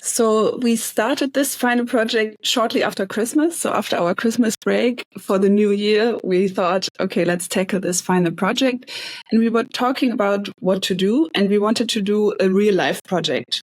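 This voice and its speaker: A young woman from Hamburg, a bootcamp graduate, explaining her project in a relaxed, chatty way. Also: mid-range voice, normal speed, no room sound, low-quality recording. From Hamburg, Germany. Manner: relaxed, chatty, light laughs. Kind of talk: casual project presentation.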